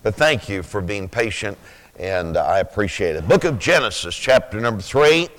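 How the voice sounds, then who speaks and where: A man in his sixties with a rough, sleepy-sounding voice, preaching a sermon slowly, with many pauses, then rising with fervent emphasis. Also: lowish voice, lively intonation, fairly bright tone. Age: 60s. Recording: reverberant hall.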